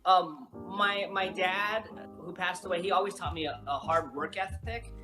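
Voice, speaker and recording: A woman's voice: fairly low pitch, normal speed, sharp tone, faint music behind. A woman voice actor in a casual interview, talking in a slangy, candid and earnest way. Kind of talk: casual chat.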